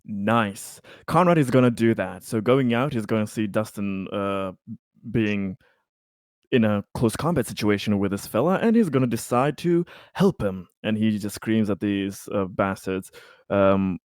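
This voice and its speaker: Animated man chatting through a game. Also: on the low side, unhurried, dry recording, studio quality. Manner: amused, laughs readily, swears. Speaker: tabletop game player. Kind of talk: casual game chat, narrating his character's moves.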